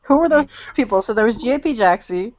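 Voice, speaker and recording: A woman's voice: fairly high pitch, expressive, a little fast, a little mellow. A woman co-hosting a casual podcast, telling stories and teasing the others. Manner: storytelling, teasing. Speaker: podcast co-host. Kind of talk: casual chat. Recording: dull lo-fi podcast audio.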